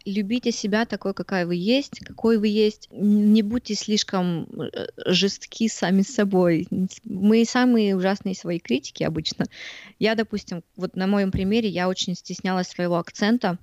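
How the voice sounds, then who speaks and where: A woman from Siberia in her thirties chats as a podcast guest, lively and quick to laugh. She acts out other people's remarks as she tells stories. The recording is dry and dull. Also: medium pitch, no room sound. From Siberia, Russia. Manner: animated, laughs often, acts out quoted voices. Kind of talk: casual interview chat.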